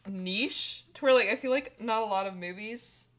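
A young woman co-hosts a casual movie podcast, chatty and quick to giggle, with "like" in nearly every sentence. Her voice is bright and forward, with a little tension in it. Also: mid-range voice. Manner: giggly, chatty, says "like" a lot. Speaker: podcast co-host. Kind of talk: casual chat.

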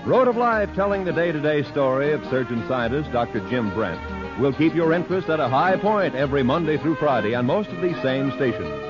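A man's voice: a little high, expressive, brisk. A man announcing in formal, declamatory 1950s network radio style, reading from a script. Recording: dull old 1950s radio broadcast, music behind.

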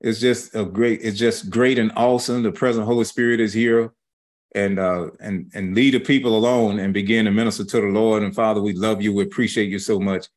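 A man with a fairly low voice teaches scripture informally. He moves in halting, broken phrases and uses folksy, colloquial grammar, like a teacher explaining things off the cuff. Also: fairly even intonation. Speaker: religious teacher.

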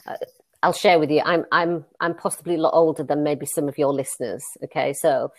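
A middle-aged woman from Leeds with a Northern English accent, a guest telling stories in a relaxed interview with many fillers and restarts. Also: low voice, fairly quick, dry recording. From Leeds, England. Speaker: guest, money advisor. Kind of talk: conversational interview.

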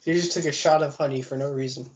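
A man's voice: medium pitch, fairly even intonation, fast talker. A man co-hosting a casual podcast, laid-back and self-mocking, laughing a lot. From United States. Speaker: podcast co-host. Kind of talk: casual chat.

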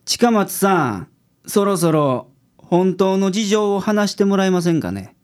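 A woman's voice: medium pitch, sing-song, measured pace, darkish tone. A woman with a Kansai accent playing a shrewd, laid-back male detective boss in a radio drama. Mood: calm, probing. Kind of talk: scripted radio drama acting.

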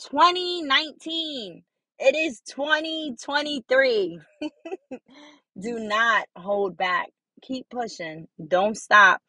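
A young woman with a high voice hosting her own podcast, talking casually and repeating phrases for emphasis as she encourages listeners. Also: pushed and bright, no room sound, rough recording. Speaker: podcast host. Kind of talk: casual motivational monologue.

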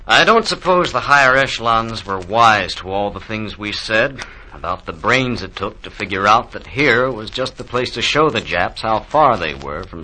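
Wry ww2 marine, very sharp lowish voice, old radio drama. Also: male voice. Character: dead US Marine, sardonic veteran of jungle fighting. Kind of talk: 1940s radio acting, blank verse monologue. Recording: faintly noisy 1940s radio recording.

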